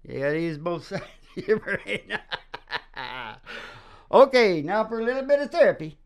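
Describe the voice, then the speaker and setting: A man's voice: high voice, big pitch swings, moderate pace. A man hosting a casual philosophical chat, talking in a rambling, folksy, preachy way and often checking that he is agreed with. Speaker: host.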